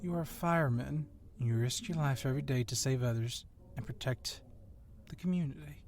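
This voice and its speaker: A man's voice: medium pitch, brisk, darkish tone, lightly acted. A man playing a confident, sly policeman wrestler who teases and goads his rival in an ASMR roleplay. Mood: sly, admiring. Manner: teasing, needling.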